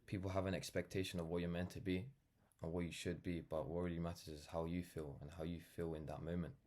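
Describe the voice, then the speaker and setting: A man's voice: sits low, level tone, quick pace, a little mellow. A nineteen-year-old man with a London accent talking casually and reflectively to camera, earnest and rambling, often saying "like" and "you know". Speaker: vlogger, brand founder.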